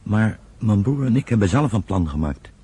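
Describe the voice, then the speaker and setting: An actor playing a determined, respectful young refugee in a radio drama, speaking earnestly and firmly. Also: male voice, lowish voice, big pitch swings, moderate pace, warm tone, husky, heavily acted. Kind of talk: Dutch radio drama acting.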